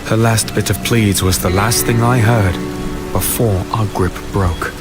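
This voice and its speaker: Man acting low, grave fantasy hero narrator. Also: fairly even intonation, a little slow, natural voice. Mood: desperate. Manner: grave, dramatic first-person narration. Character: earnest young fantasy hero recounting his ordeal. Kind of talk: scripted dark fantasy audio drama narration. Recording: very loud dramatic music behind.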